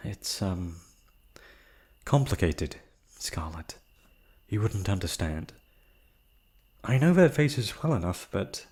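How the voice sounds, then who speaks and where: A man's voice: sits low, very animated, slow, pauses often, a little mellow, breathy edge, full character voice. A man acting a shy, proud, wistful noble prince in a romantic fantasy roleplay, with hesitant, formal, sighing speech. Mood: flustered, guarded.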